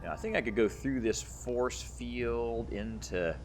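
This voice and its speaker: A man's voice: mid-range voice, a little slow, soft background music. A man giving casual gameplay commentary as host of a gaming video, musing aloud as he plays. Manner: muses aloud while playing.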